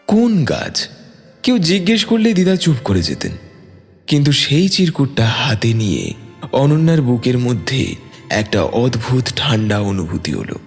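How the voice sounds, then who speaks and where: Male narrator for audio fiction, medium pitch, lively intonation, soft music underneath. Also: measured pace. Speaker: story narrator. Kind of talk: scripted dramatic narration. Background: soft background music.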